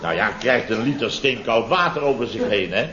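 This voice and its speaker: An actor playing a flustered contractor in a 1970s radio comedy, telling domestic mishaps in halting, repetitive sentences full of tag questions. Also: male voice, on the low side, lively intonation, a crowd in the background, lightly acted. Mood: exasperated. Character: flustered male contractor recounting his chaotic domestic mishaps. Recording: dull vintage radio recording.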